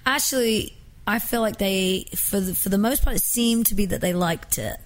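A woman's voice: medium pitch, moderate pace. A young woman from England with an accent blended with American, chatting casually as a singer guest in an interview, often restarting her sentences. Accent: mixed English and American.

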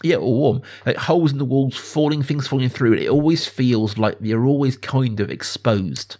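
A man's voice: medium pitch, normal speed, darkish tone. A man hosting a casual film discussion podcast, explaining things in thoughtful, enthusiastic detail. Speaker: podcast host.

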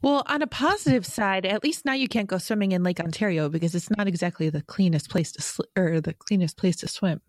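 A woman's voice: medium pitch, brisk, hardly any pauses, darkish tone, dry recording, clean studio sound. A woman in her forties from Rochester, New York, hosting a podcast in a chatty, self-deprecating way and laughing often. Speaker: podcast host. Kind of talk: casual podcast chat.

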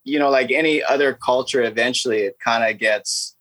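A man from Hawaii, a podcast guest speaking in an easygoing, unhurried way in a casual interview. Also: medium pitch, a bit bright.

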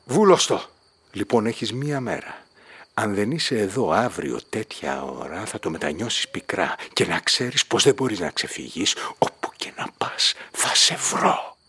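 A man's voice: fairly low pitch, expressive, very noisy. An actor playing a violent, coldly mocking male villain in a Greek radio play, threatening and commanding. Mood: angry, brutal.

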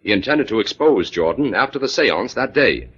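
Casting: assured radio-era male sleuth, husky, lively intonation, explanatory and wry. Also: on the low side, moderate pace. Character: confident male detective, self-assured and wry. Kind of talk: 1940s radio drama acting. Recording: dull old 1940s radio recording.

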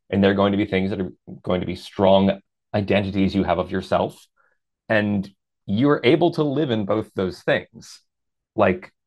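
Deep-voiced reflective man in his thirties. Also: lo-fi sound. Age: 30s. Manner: reflective and measured, lifts into animated quoted asides. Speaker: guest. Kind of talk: casual interview chat.

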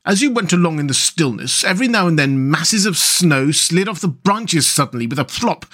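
A man's voice: fairly high pitch, a bit bright. A man voicing a cheerful, hearty and talkative otter who speaks in a breezy storybook manner.

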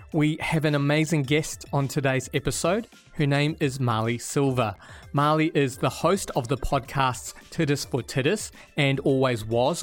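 A man hosting a podcast, reading a welcoming intro and then starting an interview in an upbeat manner. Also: medium pitch. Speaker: podcast host.

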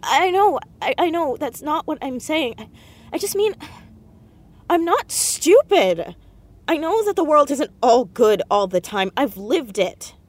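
Casting: stubborn teen girl sleuth, higher voice with very animated pitch, naturalistic audio drama delivery. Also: a little slow. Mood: defiant. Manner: stammers when cornered, insistent. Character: headstrong, inquisitive teenage girl, defensive amateur sleuth.

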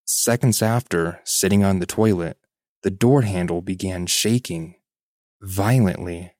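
A man's voice: deep voice, measured pace, a little mellow, no echo, clean studio sound. A man reading a first-person story aloud as a podcast narrator.

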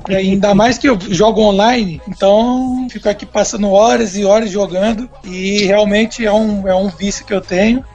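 A man answering questions as a guest on a radio interview, chatty and relaxed, laughing easily. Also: sits high, normal speed, hardly any pauses. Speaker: basketball player guest.

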